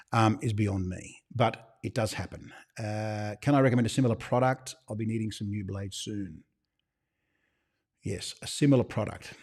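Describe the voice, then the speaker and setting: A man's voice: fairly low pitch, a little mellow, slightly rough. An Australian man who runs a shop, hosting a casual livestream Q&A. He rambles and drops joking, conspiratorial asides. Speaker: shop owner hosting a live Q&A.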